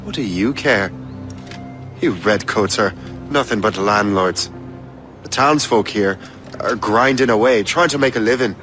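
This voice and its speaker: Young game hero, brooding n guarded, kinda low voice, loud music behind him. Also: male voice, unhurried, pauses often. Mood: skeptical. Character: guarded, brooding young male adventurer with a conscience. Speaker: game protagonist. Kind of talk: dramatic game cutscene dialogue.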